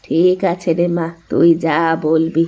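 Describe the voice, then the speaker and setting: A woman's voice: low-pitched, flat delivery, a little slow, dark tone, muffled recording. A woman voicing a stern, elderly cartoon mother-in-law who scolds and commands. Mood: calm. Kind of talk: cartoon voice acting.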